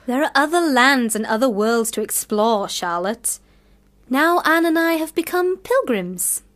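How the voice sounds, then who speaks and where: A proud, dreamy young poet sister with a fairly high voice, recorded close and dry. Her lines are measured and lyrical, in the formal manner of a period radio play. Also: lively intonation, a bit bright, no echo. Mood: proud, composed. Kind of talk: radio drama acting.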